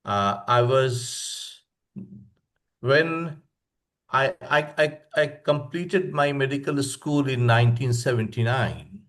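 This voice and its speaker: An older man from Bangladesh with a Bangladeshi accent, speaking in a halting, reflective way as a guest presenter on an online webinar. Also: mid-range voice, fairly bright tone. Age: 60s or older. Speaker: professor of palliative medicine, guest speaker. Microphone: online video call.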